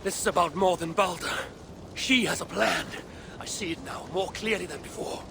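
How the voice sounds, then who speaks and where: An actor playing a grieving, stubborn old Norse god in a video game, gravelly and solemn in delivery. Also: male voice, high voice, normal speed, background noise. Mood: determined. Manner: solemn, archaic, commanding. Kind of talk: dramatic video game acting.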